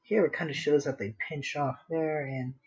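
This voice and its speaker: A man with a slightly high voice talks casually to himself and his viewers as he draws on a livestream. He rambles along with a playful, dramatic streak. The microphone sound is a little dull and lo-fi. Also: fairly even intonation, moderate pace, darkish tone. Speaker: streamer, digital artist. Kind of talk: casual livestream chat while drawing.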